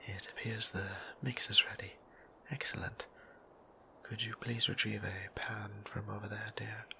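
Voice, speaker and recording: Casting: male actor as courteous, bashful vampire priest for audio roleplay. Slow, even whisper, formal and tender, breathy. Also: slight background noise, exaggerated voice. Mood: fond, pleased. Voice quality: breathy whisper with creaky low tone. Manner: formal, old-fashioned, polite, measured phrasing.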